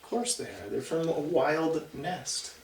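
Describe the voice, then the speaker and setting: A man's voice: a little high, expressive, a little slow, gravelly, slight background noise. A man reading a picture book aloud to young children, conversationally, with chatty asides and questions to the listeners. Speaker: storybook reader. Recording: roomy echo, distant mic.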